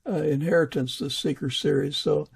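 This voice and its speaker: A man hosting a casual interview, thinking aloud and pausing between phrases as he responds to his guest. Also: on the high side, fairly even intonation, vocal fry, husky. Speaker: podcast host, interviewer.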